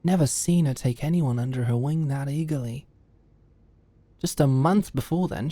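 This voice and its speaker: A woman's voice: rumbling low, dark tone, dry recording. A woman playing a composed, wry senior corporate mentor who muses and confides in a scripted ASMR audio roleplay.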